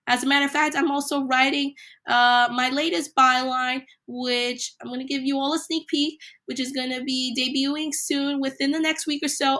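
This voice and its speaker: A woman hosting a solo podcast, a little hoarse from a cold, reading numbered points with emphasis. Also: high-pitched, pushed and bright. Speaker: podcast host.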